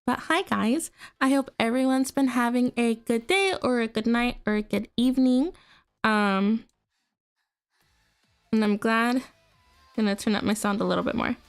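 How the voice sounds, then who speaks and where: A woman's voice: on the high side, normal speed, long pauses, clear, resonant tone. A young woman with a congested voice, chatting casually and rambling with lots of fillers as a livestream host. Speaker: VTuber streamer. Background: faint music.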